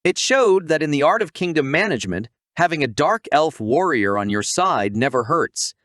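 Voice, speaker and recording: A man's voice: on the high side, big pitch swings, moderate pace, fairly bright tone, dry recording, clean studio sound. A man reading a scripted recap narration in a wry, tongue-in-cheek storytelling manner.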